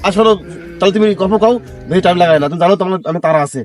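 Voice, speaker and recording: A man playing a hurried, reluctant young village tutor in a comedy skit, pleading impatiently in a rural Bangladeshi Bengali accent. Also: high voice, a little mellow, faint music behind, natural voice. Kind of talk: comedy skit acting with colloquial dialogue.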